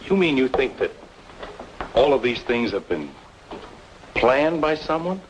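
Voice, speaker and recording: A guarded noir man, swinging pitch. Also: very animated, measured pace, dull audio. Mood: wary. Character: troubled, guarded, stubborn man plagued by misfortune. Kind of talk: mid-century film noir drama acting. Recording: noisy old film soundtrack, hissy.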